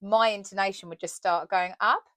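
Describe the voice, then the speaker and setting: A woman co-hosting a casual chat about acting, chatty and playful and quick to laugh. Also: mid-range voice, fairly even intonation, sharp tone, no echo. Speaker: podcast co-host.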